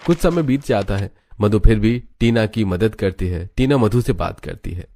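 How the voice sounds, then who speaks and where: Male story narrator, lowish pitch, dark relaxed timbre, scripted storytelling. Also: moderate pace, a little hiss or hum.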